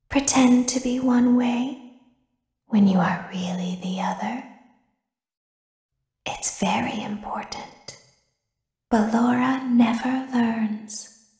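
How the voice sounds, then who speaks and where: A woman voicing a childlike female animatronic robot in a video game, eerie and secretive, with a hushed, breathy, deliberate delivery. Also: fairly high pitch, reverberant. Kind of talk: video game character monologue.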